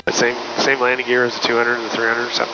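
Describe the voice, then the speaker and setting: A man's voice: medium pitch, monotone, quick pace, very noisy. A man with an American accent, a pilot talking casually with a colleague over a headset in a small plane. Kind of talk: casual cockpit chat. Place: small aircraft cockpit in flight. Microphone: aviation headset. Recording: dull intercom audio, loud aircraft drone.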